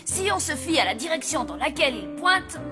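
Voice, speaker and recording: An actress playing an earnest, dramatic school-age zombie child in a children's cartoon. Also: female voice, sits high, sing-song, brisk, over music. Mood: self-important. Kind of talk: French cartoon dub acting.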